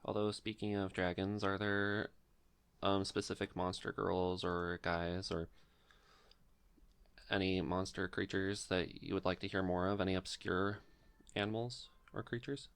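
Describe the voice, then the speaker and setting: A man's voice: low-pitched, little pitch movement, a little slow, vocal fry, fairly bright tone, smooth, dry recording. A man hosting a casual podcast interview, politely asking questions and encouraging his guest.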